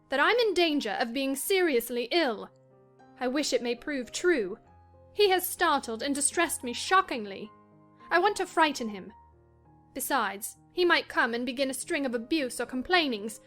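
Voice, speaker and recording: A woman playing a passionate, wilful young married gentlewoman in a dramatized novel reading, theatrical and distressed. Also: sits high.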